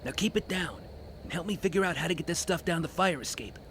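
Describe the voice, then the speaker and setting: An actor playing a cocky, aggressive young street thief in an audio drama, brash, slangy and bossy. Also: male voice, fairly high pitch, fast talker, background noise, close to an everyday voice.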